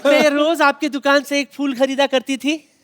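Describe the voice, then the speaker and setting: High-pitched, theatrical old granny. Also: level tone, normal speed, fairly bright tone. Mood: coy, wistful. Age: older. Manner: theatrical, coy, calling out. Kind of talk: broad stage comedy sketch. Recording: TV studio stage with audience noise.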